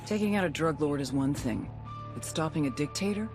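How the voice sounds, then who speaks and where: A woman's voice: low voice, expressive, fairly quick. Tough, defiant female intelligence officer, blunt and intense, cinematic game drama, music underneath. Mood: bitter resolve. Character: hard-bitten female American intelligence officer, bitter and defiant. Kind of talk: cinematic video game acting.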